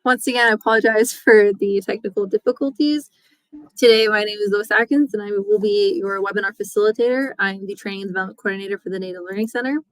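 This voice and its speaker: A woman facilitating a webinar, reading a scripted introduction in a steady, procedural way. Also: mid-range voice, fast talker, no echo, rough recording. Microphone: webinar microphone.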